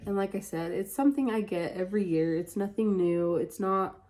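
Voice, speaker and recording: A woman's voice: medium pitch, moderate pace, a little mellow. Stuffy-nosed woman chatting casually. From Utah, USA. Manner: chatty and candid, many "like" fillers. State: congested, stuffy-nosed from a head cold. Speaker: beauty vlogger. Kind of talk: casual get-ready-with-me chat.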